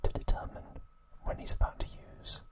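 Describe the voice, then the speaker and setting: A man hosting an ASMR recap, speaking in a soft, breathy, unvoiced whisper with a gentle delivery. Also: fairly quick. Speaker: ASMR host.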